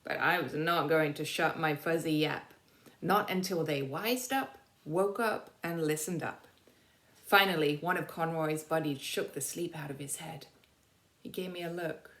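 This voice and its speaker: Low-voiced woman reading a storybook, echoey. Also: a little slow, fairly bright tone. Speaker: teacher reading aloud. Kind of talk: expressive children's storybook narration.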